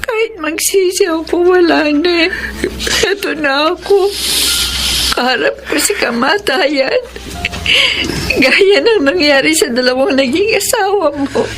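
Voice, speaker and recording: An actress with a Filipino accent playing a bedridden, dying wife, plaintive, bitter and resigned, in a radio drama. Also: female voice, very high-pitched, a little slow, background noise. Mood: resigned, despairing. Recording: dramatic music under the voice.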